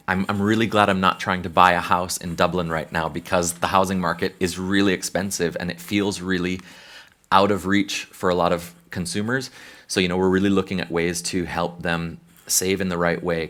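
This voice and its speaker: American male consultant, very low voice, thoughtful panel talk with restarts. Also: flat delivery, normal speed, a bit bright. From United States. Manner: thoughtful, hesitant restarts, conversational. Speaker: behavioral science consultant.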